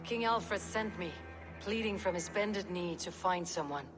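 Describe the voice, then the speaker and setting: A woman's voice: lowish voice, measured pace, over music, natural voice. An actress playing a bold Viking woman warrior, terse, cool and wry, in dramatic video game dialogue. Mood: wry, resolute. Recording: long reverb, faint background noise.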